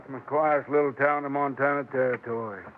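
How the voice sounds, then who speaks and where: A man's voice: mid-range voice, steady tone, fast, a little hiss or hum, close to an everyday voice. A man plays a controlling frontier sheriff in a radio western. His medium voice is folksy yet authoritative and pleased with itself. It sounds thin and boxy, like an old broadcast. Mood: smugly genial. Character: self-satisfied Old West sheriff, folksy but controlling. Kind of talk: 1950s radio western acting. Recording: tinny vintage radio recording.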